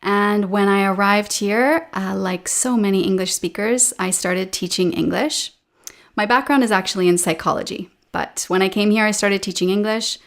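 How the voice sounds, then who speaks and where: A woman in her thirties with a Canadian accent, a guest chatting casually in a relaxed way with fillers, over a video call. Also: medium pitch, moderate pace. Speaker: podcast guest, English teacher. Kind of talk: casual interview chat. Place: home room with a painting behind her.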